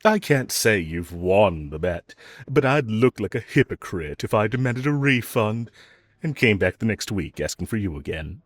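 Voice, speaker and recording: A man playing a flirtatious, teasing villain in a romantic audio roleplay, with a husky voice and a playful, drawn-out delivery. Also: on the low side, very animated, dry recording. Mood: smug, flirtatious. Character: flirtatious, teasing male villain, playfully provoking his hero rival. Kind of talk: romantic audio roleplay acting addressed to listener.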